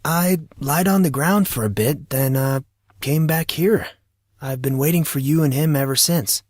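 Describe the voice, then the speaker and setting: Man voicing a casual, husky teenage schoolboy. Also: a little high, a little slow, a little mellow, no room sound, studio quality, voice pushed up. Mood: subdued. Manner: casual, youthful, blurting.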